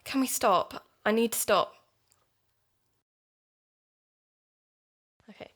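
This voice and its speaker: Anxious, determined young podcaster, highish voice. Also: female voice, normal speed, fairly bright tone. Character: anxious, determined young woman podcaster living with her dad. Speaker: actor in an audio drama. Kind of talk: audio drama dialogue.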